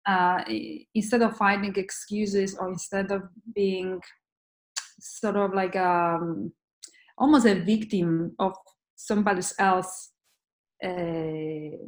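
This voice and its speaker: Earnest woman in a roomy echo. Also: mid-range voice. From Poland. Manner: earnest, halting with many restarts. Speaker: guest. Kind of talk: conversational interview.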